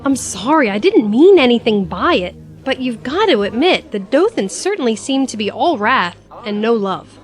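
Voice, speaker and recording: A woman's voice: fairly high pitch, sing-song, normal speed, hardly any pauses. A woman playing a flippant, frank travelling companion with a casual, wry manner in an audio drama.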